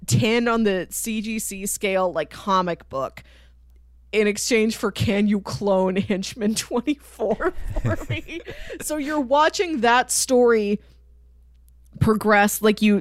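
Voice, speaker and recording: A woman's voice: medium pitch, no echo, clean studio sound. A woman co-hosting a podcast, chatting casually and explaining things in detail with an enthusiastic, chuckling manner. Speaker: podcast co-host. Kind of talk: casual chat, explaining and recapping a TV cartoon.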